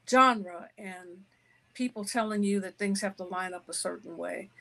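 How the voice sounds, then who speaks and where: A woman speaking as an author and interview guest, giving conversational answers with deliberate, emphatic phrasing. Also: mid-range voice, fairly bright tone.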